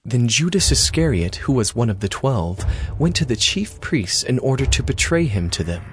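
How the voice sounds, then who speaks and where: A male narrator reading scripture aloud in a steady, expressive way. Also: medium pitch, moderate pace, a little hiss or hum. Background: music score.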